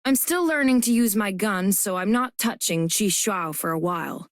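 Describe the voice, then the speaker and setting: A woman's voice: medium pitch, expressive, moderate pace, no room sound, studio quality, natural voice. A woman voicing a serious, self-questioning swordswoman in scripted video game lines. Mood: pensive.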